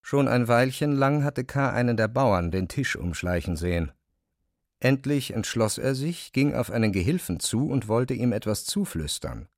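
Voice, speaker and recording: A man's voice: medium pitch, expressive, moderate pace, no echo, studio quality. A man reading scripted literary narration as the narrator of an audio drama.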